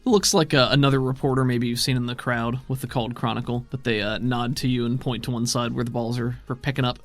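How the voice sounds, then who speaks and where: A man's voice: medium pitch, flat delivery, fast talker, faint music behind. A man working as game master, improvising narration for a tabletop role-playing game.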